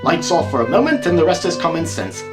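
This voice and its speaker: A man acts out a theatrical gentleman detective for an audio drama. His voice is raised and swings widely in pitch, with genteel, precise phrasing and a drily amused, mocking air. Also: very animated, fast talker, music in the background. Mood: triumphant. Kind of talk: amateur audio drama acting.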